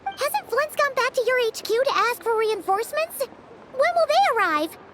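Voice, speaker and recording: A tiny cheeky game fairy: extremely high, squeaky, swooping delivery. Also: female voice, very animated, normal speed, heavy background noise. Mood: surprised, perky. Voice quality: squeaky, babyish. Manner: refers to self in third person, bubbly. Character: tiny childlike floating fairy companion, excitable and cheeky. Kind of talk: video game character dialogue.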